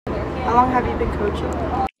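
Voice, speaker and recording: A woman's voice: fairly high pitch, flat delivery, measured pace, a crowd in the background. A woman working as interviewer, asking short questions in a noisy recording. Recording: clear background noise and clicks.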